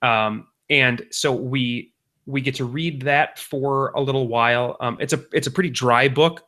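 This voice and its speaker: A man in his thirties talks through a story on a casual podcast. His voice is bright and forceful. He wanders and jokes, with plenty of ums along the way. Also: medium pitch, a little slow. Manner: rambling, jokey storytelling with many fillers. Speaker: podcast co-host.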